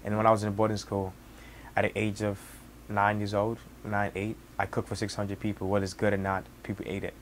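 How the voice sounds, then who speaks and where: A young man in his twenties from Senegal with a Senegalese accent, Wolof his first language, telling his story as a cook in halting speech with many restarts. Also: lowish voice, completely flat delivery, slight background noise. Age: 20s. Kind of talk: casual personal storytelling.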